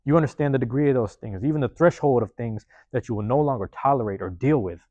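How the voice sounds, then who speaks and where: A man hosting a podcast from a university room, giving a loose, slangy motivational monologue with lots of repetition, his voice getting a bit hoarse. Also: medium pitch, fast, warm tone, no echo, muffled recording. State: voice going hoarse. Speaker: podcast host.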